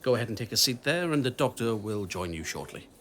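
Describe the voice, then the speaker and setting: A courteous hospital receptionist in an audio drama. His mid-pitched voice swings up and down a lot. Faint ambience sits behind it. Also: sing-song, fast talker, a bit bright, a little hiss or hum. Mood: helpful.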